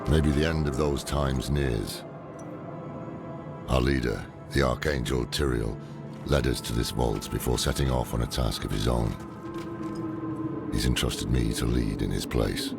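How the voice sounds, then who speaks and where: Very deep, gravelly solemn scholar. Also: male voice, steady tone, measured pace, long pauses, heavily acted. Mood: solemn, weary. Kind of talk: solemn scripted narration. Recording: loud music under the voice.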